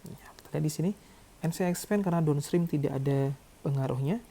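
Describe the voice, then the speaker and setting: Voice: mid-range voice, fast talker, mellow, breathy edge. An accounting lecturer explaining calculations step by step in a didactic way. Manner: didactic, checks with "ya" and "oke".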